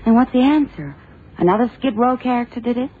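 An actor playing a loyal, plain-spoken investigator in a radio crime drama, clipped and questioning. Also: male voice, extremely high pitch, brisk, breathy edge. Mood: sceptical. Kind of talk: 1960s radio drama acting. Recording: thin, phone-like old radio recording.

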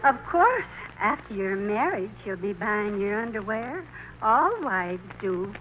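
A woman's voice: medium pitch, big pitch swings, slow, a bit bright. An actress playing a fretful, sentimental older mother of a grown son in a 1940s radio play. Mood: fussing. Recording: noisy old radio broadcast recording.

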